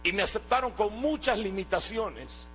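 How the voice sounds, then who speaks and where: A man's voice: high-pitched, lively intonation, moderate pace, a bit bright, slight background noise. A man from Guatemala, giving an earnest sermon testimony and often restarting his words. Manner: earnest, exhorting, with hesitant restarts.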